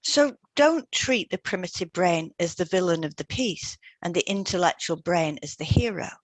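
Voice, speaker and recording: A woman co-hosting an educational podcast, reading scripted explanations in a measured, explanatory way. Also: fairly low pitch, animated, dry recording. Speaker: podcast co-host, hypnotherapist. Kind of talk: scripted podcast discussion, read aloud.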